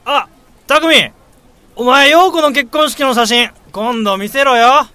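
A man playing an excitable, easily embarrassed young drinking buddy in a radio drama, with a whiny, exclamatory manner. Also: extremely high pitch, takes it slowly, dry recording, heavily acted. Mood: drunken, playful.

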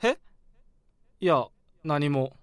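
A polite, eager young junior office worker with a high, lively, bright voice. He speaks respectfully to his senior. The studio sound is dry and close. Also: drawn-out words, dry recording, full character voice. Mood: concerned. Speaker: junior coworker. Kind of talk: radio drama acting.

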